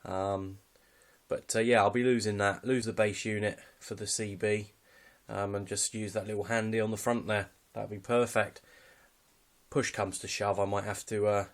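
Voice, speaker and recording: Low-pitched British man, casual and understated. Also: level tone, normal speed. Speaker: hobbyist presenter showing his kit. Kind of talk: casual unscripted gear walkthrough.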